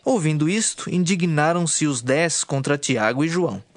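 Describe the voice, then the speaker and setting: Higher-voiced Brazilian male scripture narrator. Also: lively intonation, moderate pace, dry recording. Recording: clear music bed behind the voice.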